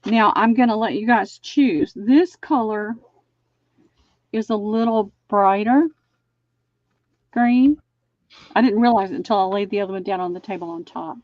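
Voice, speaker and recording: A woman's voice: medium pitch, no room sound. A middle-aged woman from Georgia with a Southern accent, hosting a casual live sale and chatting folksily as she shows and measures fabric. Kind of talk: casual live-stream selling. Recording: dull, dry lo-fi stream audio.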